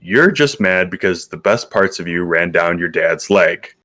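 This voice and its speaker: A man being interviewed on a live stream, telling joking stories in a relaxed way. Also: sits low, a little slow, noisy background. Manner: joking, storytelling, easy laughs. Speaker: guest, content creator. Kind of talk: casual interview answers.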